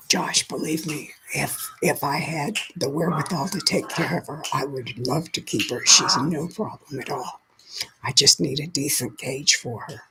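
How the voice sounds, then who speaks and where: A woman's voice: deep voice, unhurried, noisy background. A woman who has lost her voice, whispering her way through a chatty livestream and greeting viewers by name. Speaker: livestream host. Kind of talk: casual live chat with viewers.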